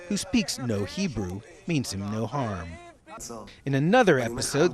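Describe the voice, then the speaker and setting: A man narrating a news report as correspondent, with a measured, even broadcast delivery. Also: medium pitch.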